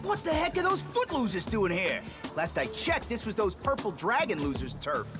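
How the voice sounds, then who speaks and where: Brash, sarcastic, high-pitched teen turtle. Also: male voice, wildly swooping pitch, moderate pace, music in the background. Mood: mocking, brash. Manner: slangy, mocking, brash. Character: gruff, sarcastic, impatient teenage male mutant turtle. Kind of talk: cartoon video game acting.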